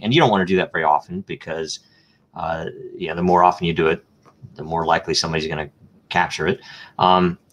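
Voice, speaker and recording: A man's voice: low-pitched, fairly bright tone. A man answering questions as a guest in a live online interview, explaining step by step through examples and thinking aloud with frequent ums, uhs and restarts.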